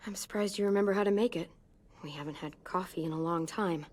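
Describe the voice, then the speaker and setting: A woman playing a tough, protective teenage girl survivor in a dramatic video game, speaking in a sober, measured way. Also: fairly low pitch, a little mellow, lightly acted. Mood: gentle, sober. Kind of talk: naturalistic dramatic game acting. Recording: music under the voice.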